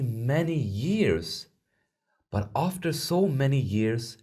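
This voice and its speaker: Man, children's story narrator, lively swooping intonation, unhurried delivery, husky edge, expressive, deliberate. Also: mid-range voice.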